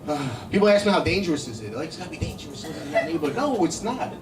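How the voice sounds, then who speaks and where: A man's voice: fairly high pitch, animated, rapid-fire. A middle-aged man with a New York accent performing live stand-up comedy, brash and profane, bantering with the crowd in a conversational way. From Brooklyn, New York, United States. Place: indoor comedy show in a cafe. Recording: echoey live room, miked from a distance.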